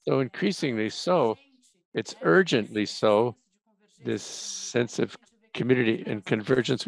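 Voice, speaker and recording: Guy doing live interpreting for a panel, kinda gravelly, mid pitch, choppy with lots of restarts and uhs. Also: no room sound, rough recording. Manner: halting, with restarts and fillers. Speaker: simultaneous interpreter.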